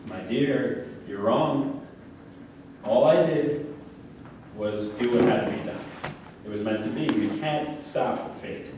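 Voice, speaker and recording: An actor in a staged reading playing a deluded, self-righteous killer who talks in a grandiose, condescending, pleading way. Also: male voice, mid-range voice, slow, pauses often, close to an everyday voice. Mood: soft, obsessive. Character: deluded, obsessive convicted male killer, preachy and self-righteous. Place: echoey room at a staged reading, far mic. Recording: very echoey, distant, faint room noise.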